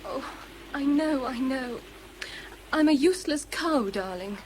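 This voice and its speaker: A high-pitched English girlfriend in a radio play, anxious and devoted, quick to blame herself. Faint noise sits behind her voice. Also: expressive, a little mellow, poor audio. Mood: contrite, tired. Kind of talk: radio drama acting.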